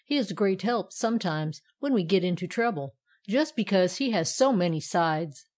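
A woman voicing a lively, teasing male andiron creature in a children's storybook, brisk and exclamatory. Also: mid-range voice, natural voice. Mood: confiding.

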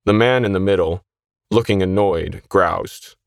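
A man's voice: low-pitched, measured pace, vocal fry, no room sound, clean studio sound. A man narrating a scripted short story as its reader.

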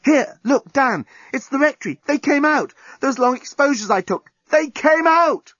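A man voicing an excitable, forceful young Earth woman with an emphatic, exclaiming delivery in an audiobook. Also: on the low side, fairly quick, slightly rough, dry recording, pitched above the performer's own voice. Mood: excited. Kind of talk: audiobook character voice.

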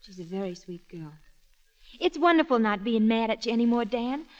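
A woman's voice: a little high, expressive, moderate pace, lightly acted. An actress playing a forgiving, wistful young woman in a 1940s radio drama. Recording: muffled old radio broadcast.

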